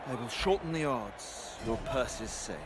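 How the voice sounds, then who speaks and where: An actor playing a deferential, reassuring and scheming advisor to a Roman emperor in a cinematic video game. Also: male voice, medium pitch, expressive, a little slow, heavy background noise, lightly acted. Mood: calm, reassuring.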